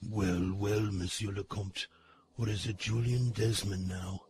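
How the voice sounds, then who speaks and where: A man voicing a mocking, imperious dark prince in a gothic audio drama, sardonic and haughty. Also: lowish voice, fairly even intonation, measured pace, slight background noise, natural voice. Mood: sardonic menace.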